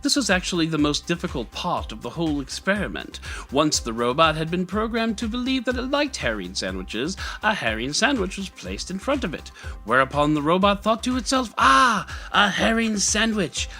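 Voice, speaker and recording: A man's voice: sits high, big pitch swings, moderate pace, sharp tone, soft background music, full character voice. A man putting on an English accent as a pompous, droll storyteller giving a dramatic reading of comic prose. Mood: droll, theatrical. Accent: put-on English. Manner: theatrical, droll.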